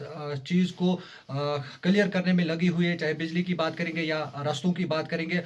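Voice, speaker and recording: Higher-voiced Kashmiri male reporter, halting delivery. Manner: hesitant, halting delivery with repeated phrases. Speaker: news reporter and interviewer. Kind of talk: news report and phone interview.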